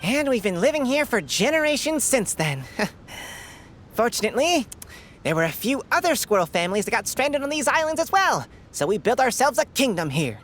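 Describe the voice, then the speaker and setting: Prim, talkative game squirrel: high-pitched, very lively intonation, quaint turns of phrase. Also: female voice, moderate pace, a little hiss or hum. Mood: chatty, aggrieved. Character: talking squirrel, chatty, prim, faintly indignant storyteller. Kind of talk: video game character acting.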